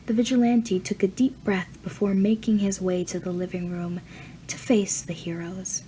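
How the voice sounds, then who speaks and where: Mellow woman narrating a story. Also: mid-range voice, little pitch movement, moderate pace, slight background noise, low-quality recording. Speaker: audiobook narrator. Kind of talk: reading fan fiction aloud.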